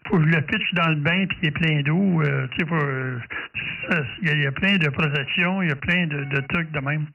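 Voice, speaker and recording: A man's voice: a little high, brisk, vocal fry. A man with a Quebec French accent chatting casually and hesitantly as an amateur radio operator. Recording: dull, distant-mic home recording.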